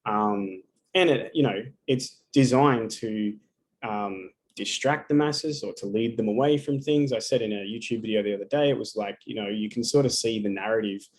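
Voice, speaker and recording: A man's voice: on the low side, moderate pace. A man giving a live astrology reading over a video call, talking casually and bluntly and swearing often.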